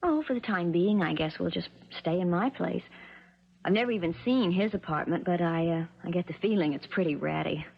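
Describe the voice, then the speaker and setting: An actress playing a spoiled, resentful young American daughter in a 1970s radio drama, with a husky voice. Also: female voice, fairly low pitch, brisk. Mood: guarded, casual. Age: young adult. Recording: dull 1970s radio broadcast.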